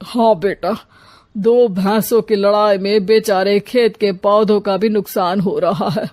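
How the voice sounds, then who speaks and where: A woman's voice: mid-range voice, fairly even intonation, a little slow, darkish tone, natural voice. A woman voicing a concerned, lamenting older father in a family comedy cartoon. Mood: worried, lamenting. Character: weary, concerned older father, head of household.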